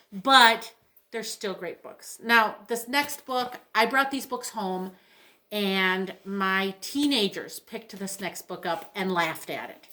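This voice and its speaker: A woman's voice: mid-range voice, pushed and bright. A middle-aged woman giving a casual, chatty and enthusiastic book talk as a librarian recommending titles.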